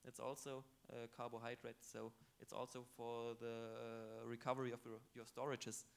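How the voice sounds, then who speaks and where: A man's voice: medium pitch, little pitch movement, normal speed, vocal fry, smooth. A man on a conference panel explaining an answer in a matter-of-fact way, on a handheld mic in a large hall. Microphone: handheld microphone.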